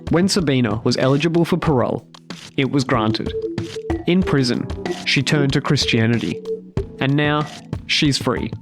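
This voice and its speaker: A man narrating a scripted true-crime story with measured, deliberate phrasing. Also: mid-range voice.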